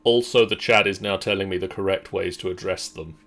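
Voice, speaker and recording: A man's voice: sits low, fairly even intonation, a little fast, fairly bright tone. A man joking wryly in casual banter as a player in a storytelling game. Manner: joking, wry. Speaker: player in a group gamebook session.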